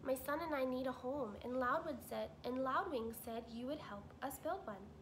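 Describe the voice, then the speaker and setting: A woman voicing a polite, calm female robot who speaks in formal, careful sentences. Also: high-pitched, moderate pace, slight background noise. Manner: formal, even, careful speech.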